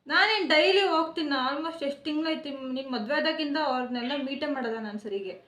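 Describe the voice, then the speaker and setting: A woman's voice: high voice, quick pace, fairly bright tone. A woman vlogger talking casually in a playful, teasing, insistent way while pranking her husband on the phone. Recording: roomy with faint noise.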